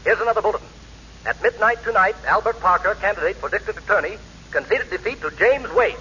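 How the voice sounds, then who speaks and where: An actor playing an urgent election-night announcer reading bulletins in a vintage radio style. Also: male voice, sits high, animated, fast talker, pushed and bright. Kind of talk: 1930s radio news bulletin. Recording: muffled, noisy old radio bulletin.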